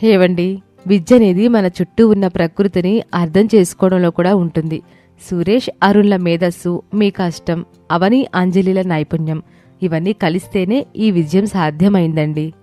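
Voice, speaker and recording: A woman's voice: lowish voice, fairly even intonation, moderate pace, dark tone, faint music behind, lightly acted. A woman voicing an encouraging, resourceful young daughter-in-law in a moral story. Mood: earnest. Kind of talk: moral story character acting.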